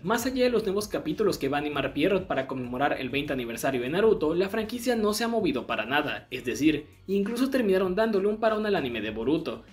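A man narrating a scripted explainer in a conversational, explanatory way. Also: sits high. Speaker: video essay narrator. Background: background music.

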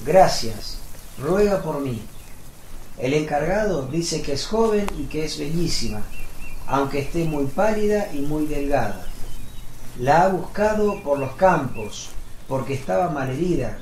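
Casting: a humble, earnest disciple giving deliberate accounts in radio drama, slightly high voice, roomy echo. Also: male voice, a little mellow. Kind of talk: devotional radio drama acting. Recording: echoey room, background noise.

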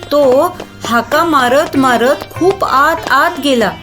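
Female storyteller for children's animated tales, fairly high voice, expressive narration over light music. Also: slow pace.

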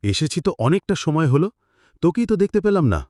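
Man as expressive poor fisherman father. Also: fairly high pitch, fast talker, no echo, clean studio sound. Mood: placating. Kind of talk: cartoon voice acting.

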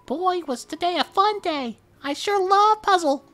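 Squeaky chirpy monster. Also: male voice, expressive, measured pace, sharp tone, full character voice. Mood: cheery. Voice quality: squeaky falsetto.

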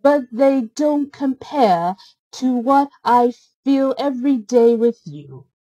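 A woman's voice: high voice, lively intonation, slow pace, mellow, no room sound, pitched above the performer's own voice, exaggerated voice, poor audio. A woman voicing a sweet, happy young bride princess in a storybook, gushing and earnest. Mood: tender. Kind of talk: storybook character reading.

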